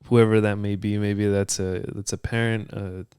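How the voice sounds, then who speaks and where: A man with a low, smooth voice speaking in relaxed podcast chat. The sound is dry and close, with no room around it. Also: normal speed, no echo. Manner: relaxed, brief agreeing interjections. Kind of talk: casual chat.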